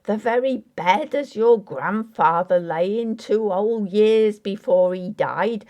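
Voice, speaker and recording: A woman's voice: mid-range voice, slow pace, darkish tone, natural voice. Woman voicing a dogged village carpenter. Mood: shocked, protesting. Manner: folksy, rambling, dialect grammar. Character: old working-class English village carpenter, dogged, wry and superstitious.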